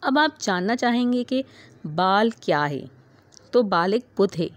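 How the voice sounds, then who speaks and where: A woman's voice: mid-range voice, dry recording. A woman narrating a vlog voice-over in an earnest, preachy storytelling manner, reading steadily with religious warnings. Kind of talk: read-aloud voice-over narration.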